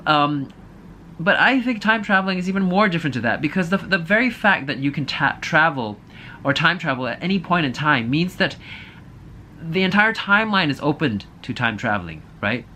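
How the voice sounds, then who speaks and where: A man's voice: a little high, lively intonation, normal speed, bright, forceful tone, slight background noise. A man giving a casual, opinionated movie review, thinking aloud in a hesitant, apologetic way.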